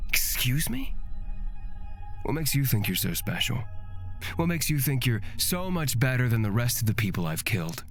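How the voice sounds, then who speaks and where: A woman's voice: a bass voice, sing-song, normal speed, faint music behind, voice pushed down, heavily acted. A woman voicing a menacing, mocking male killer from a fantasy visual novel, coldly sardonic and taunting. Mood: mocking, threatening. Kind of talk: visual novel character acting.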